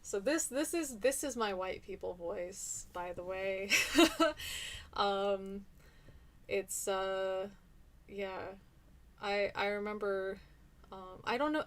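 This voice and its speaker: A woman's voice: mid-range voice, measured pace. A woman in her thirties chatting casually on a livestream, reading out viewer comments in a rambling way. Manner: chatty, rambling, many fillers. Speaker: livestream host and teacher.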